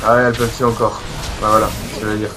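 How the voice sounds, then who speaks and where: A man chatting casually with a teammate during a match, joking and quick to laugh. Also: lowish voice, level tone, background noise. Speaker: teammate and co-player. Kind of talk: casual gaming chat. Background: game music.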